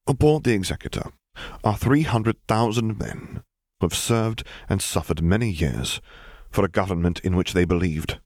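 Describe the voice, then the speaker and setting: A man voicing an earnest, courteous male admiral who speaks in a formal, measured way, in an audiobook. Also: sits low, darkish tone, no echo, studio quality. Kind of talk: audiobook character voice.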